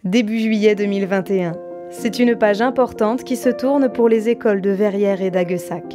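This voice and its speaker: A woman's voice: mid-range voice, moderate pace. A woman reading scripted documentary narration. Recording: music behind the voice. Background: music.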